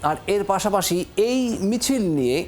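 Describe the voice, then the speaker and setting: A man's voice: sits high, sing-song, moderate pace, a little mellow, a little hiss or hum. A man with a West Bengal Bengali accent presenting the news, emphatic and dramatic, posing rhetorical questions. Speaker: news anchor. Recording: music bed behind voice. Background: news music.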